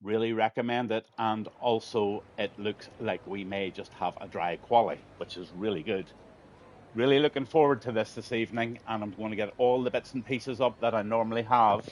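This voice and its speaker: A man's voice: on the low side, moderate pace, a little hiss or hum. A middle-aged man commentating a sim racing league on a live stream, chatty and friendly, his voice a little strained. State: slightly strained voice.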